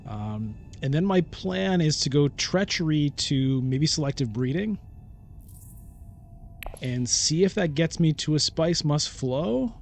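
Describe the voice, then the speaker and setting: A man giving casual game commentary as a let's-play host, thinking aloud in a halting, deliberating way and often questioning himself. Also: on the high side, animated, vocal fry, soft background music. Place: solo recording of a digital board game playthrough.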